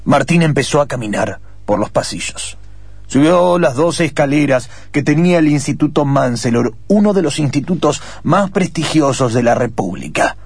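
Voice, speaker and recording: A man's voice: medium pitch, breathy edge, no room sound. A man with an Argentine accent narrating a suspense story dramatically, as in a radio play.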